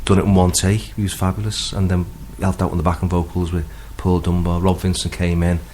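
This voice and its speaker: A man's voice: deep voice, flat delivery, fairly quick, a little mellow, slight background noise. A man from Liverpool with a Liverpool accent, chatting casually and a bit hesitantly as a singer-songwriter guest in a radio interview. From Liverpool, England.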